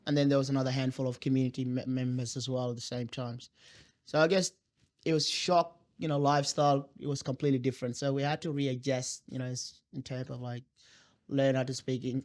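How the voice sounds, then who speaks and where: A young man from South Sudan whose first language is Dinka, telling his life story in an interview with many pauses, fillers and restarts. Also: mid-range voice, steady tone, darkish tone. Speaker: guest.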